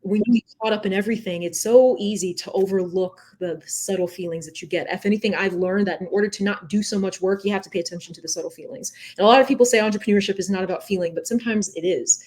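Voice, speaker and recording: A woman's voice: medium pitch, fast talker, few pauses, darkish tone. A woman speaking as an interview guest, earnest and emphatic, explaining things reflectively and checking in with questions. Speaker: podcast guest, entrepreneur and nonprofit founder. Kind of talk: reflective conversational interview talk.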